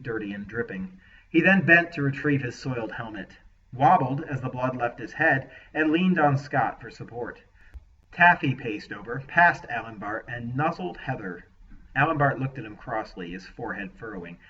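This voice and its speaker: A man reading his own story aloud as narrator, recorded slightly distant with a dull sound. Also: medium pitch. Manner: relaxed, hesitant chat with fillers after the reading. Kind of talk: audiobook narration.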